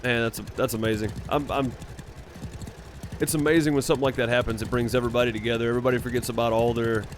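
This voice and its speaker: A man's voice: mid-range voice, steady tone, fast, a little hiss or hum. A man from Mississippi with a Mississippi accent, chatting casually and teasingly with live viewers as a streamer, laughing often. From Mississippi, United States.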